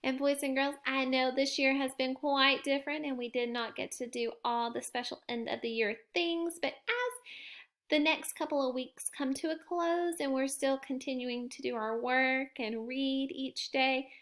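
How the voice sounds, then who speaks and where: A woman with a high, bright voice reads a picture book aloud to her kindergarten class. She takes her time, gentle and expressive, in a classic storytime manner. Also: measured pace. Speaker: kindergarten teacher.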